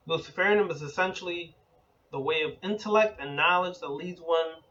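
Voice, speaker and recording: A man giving a spoken lecture as an occult teacher, measured and deliberate, building his points in lists. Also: a little high, fairly bright tone, distant mic, highs cut off.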